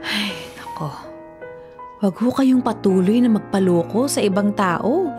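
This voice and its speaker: A woman's voice: medium pitch, expressive, unhurried, over music, lightly acted. A woman playing an indignant adult daughter in a Filipino radio drama, scolding and pleading insistently. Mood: pleading, reproachful.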